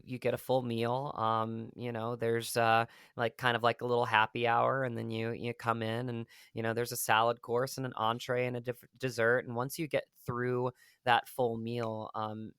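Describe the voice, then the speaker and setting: A man's voice: mid-range voice, monotone, normal speed, fairly bright tone, smooth, dry recording, studio quality. A man from rural Virginia, an actor guest talking in a reflective, rambling way with many fillers. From rural Virginia, USA. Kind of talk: casual interview talk.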